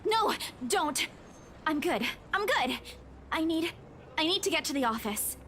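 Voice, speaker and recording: A frightened young woman in a horror story, performed by a female narrator. She pleads haltingly in a very high, bright voice. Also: expressive, a little hiss or hum. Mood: shaken insisting. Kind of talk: dramatic horror story dialogue.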